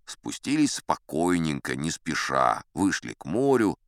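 Old sea captain, audiobook storyteller, low register, very varied intonation, self-important, wry, conversational. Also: male voice, sing-song, unhurried, creaky, clean tone, no room sound, studio quality, full character voice. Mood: animated, amused. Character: boastful old sea captain spinning tall adventure tales. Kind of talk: dramatized audiobook narration.

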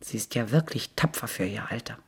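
A woman giving a measured, sober reading of literary prose in a husky, breathy voice, as a radio narrator. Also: extremely low pitch, expressive.

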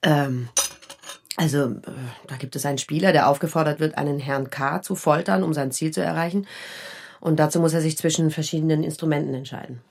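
A woman acting a caring, slightly weary mother and friend in a naturalistic radio drama, her voice husky and gravelly. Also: low-pitched, fairly even intonation, fairly quick, a little mellow. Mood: hesitant.

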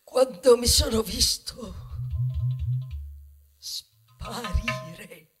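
High, theatrical plastic bag, acted by a woman. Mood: despairing. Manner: theatrical, grandiose, with dramatic pauses and swings into whispers. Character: vain, melodramatic biodegradable plastic bag, lamenting and boastful. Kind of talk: theatrical monologue in a stage ballad. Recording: music under the voice. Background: music.

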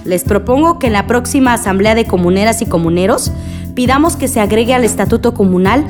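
A determined, loving activist mother played by an actress speaks in a radio drama. Her voice sits a little high, with music clearly playing underneath. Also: expressive, a little fast, close to an everyday voice.

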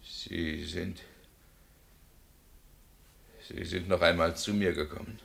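A man's voice: low voice, level tone, slow, gravelly, fairly bright tone, slight background noise, full character voice. An actor playing a condemned man who pleads his innocence from his cell. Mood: weary. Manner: impassioned, crying out his innocence. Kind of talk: radio drama acting.

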